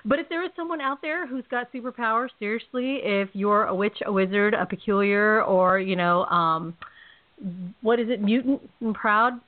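A woman's voice: medium pitch, normal speed. A woman with a California accent hosting a casual radio show, chatty and rambling, laughing often. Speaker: host. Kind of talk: casual podcast chat. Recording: tinny phone-line audio.

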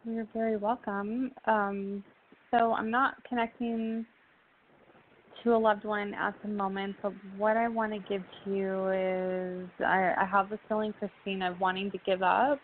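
Flat-voiced woman medium over a phone line. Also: medium pitch, measured pace. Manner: gentle, reassuring. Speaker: psychic medium guest. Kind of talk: giving phone readings. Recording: phone-line audio, faint noise.

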